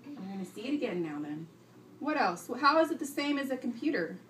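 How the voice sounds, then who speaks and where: A woman's voice: on the high side, sing-song, fast, fairly bright tone. A woman teacher reading aloud to young children, instructing them and prompting them with questions. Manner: instructive, prompting and repeating pupils' answers. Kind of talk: classroom read-aloud with questions to pupils. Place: indoor classroom, recorded from a distance. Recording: roomy, distant classroom recording with faint noise.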